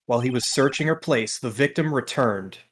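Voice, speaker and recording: A woman's voice: rumbling low, fairly quick, slight background noise. A woman voicing a nervous, earnest young male rookie defense lawyer in a video game. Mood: sober, narrating. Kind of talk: video game dub with inner narration.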